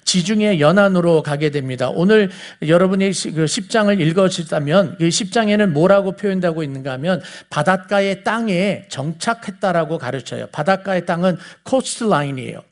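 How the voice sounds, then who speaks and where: A man's voice: sits high, expressive, moderate pace, few pauses. A man with a Seoul accent giving a conversational Bible teaching, explaining things and putting questions to his listeners. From South Korea. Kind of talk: conversational sermon lecture to a congregation.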